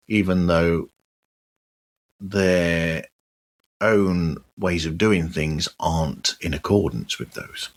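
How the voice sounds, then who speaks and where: Give the voice of a man presenting a podcast. He has a low-pitched voice and reads scripted news items and show notes in a narrating style. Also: fairly bright tone. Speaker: podcast host.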